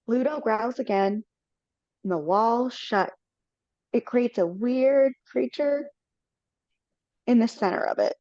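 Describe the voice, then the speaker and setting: A woman's voice: fairly high pitch, takes it slowly, long pauses, a little mellow, no room sound. A woman reading the stage directions aloud in plain, matter-of-fact narration. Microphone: video call. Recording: dull dry video call audio.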